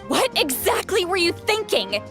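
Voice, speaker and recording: A woman playing a stern, huffy grandmother with a soft spot for her grandson in a dramatic video game. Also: very high voice, very animated, a little slow, faint music behind, full character voice. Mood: scolding.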